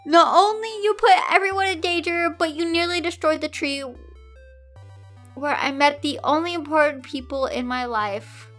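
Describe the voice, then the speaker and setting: A stern, reproachful father and schoolteacher from a storybook , acted out by a woman. The voice is high and bright, severe and cold in manner. Music plays faintly behind. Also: moderate pace. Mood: angry, scolding. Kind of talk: fan fiction storybook reading.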